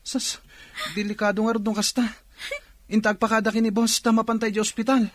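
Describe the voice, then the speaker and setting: A worried Filipino working man in a radio drama, played by an actor, pleads earnestly. His voice sits at a medium pitch in a close, dry recording. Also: little pitch movement, brisk, no echo, natural voice. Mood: alarmed. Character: worried male employee pleading to leave work.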